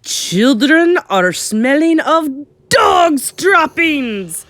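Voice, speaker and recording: A woman's voice: sits low, no room sound. A woman voicing a tyrannical, venomous witch in a children's audiobook, screeching and thundering her commands. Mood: screaming disgust. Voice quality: screeching, harsh. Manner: thunderous, drawn-out, commanding, broken foreign-style grammar. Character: tyrannical, venomous Grand High Witch, female villain.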